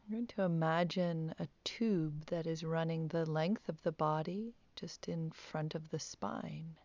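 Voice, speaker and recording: A woman teaching guided meditation, speaking softly with calm, gentle instructions and long pauses. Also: low-pitched, dry recording, highs cut off.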